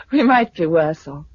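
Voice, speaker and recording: An actress playing a gentle, supportive British wife surviving a catastrophe, in a 1950s radio drama manner. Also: female voice, low-pitched, breathy edge, no room sound, heavily acted. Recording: muffled, noisy 1950s radio recording.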